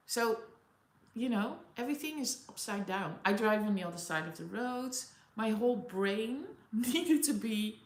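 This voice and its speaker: Chatty Dutch woman in an echoey room. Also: medium pitch. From Netherlands. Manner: chatty, laughing often, reading out viewer comments. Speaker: livestream host. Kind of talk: casual live chat with viewers. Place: home in Auckland.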